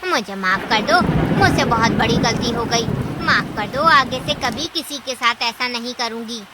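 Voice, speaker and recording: A woman's voice: fairly high pitch, normal speed, heavy background noise, close to an everyday voice. A woman playing a greedy, scheming, easily panicked aunt animal in a cartoon story, with theatrical scolding exclamations. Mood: frightened. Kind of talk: Hindi cartoon story acting.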